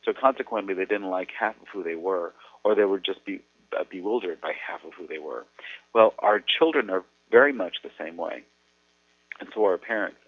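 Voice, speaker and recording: A Mexican American man, an educator, explaining things earnestly in a measured way with thoughtful pauses. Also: low voice, no room sound. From United States. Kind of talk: explanatory talk on radio. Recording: tinny phone-like radio audio.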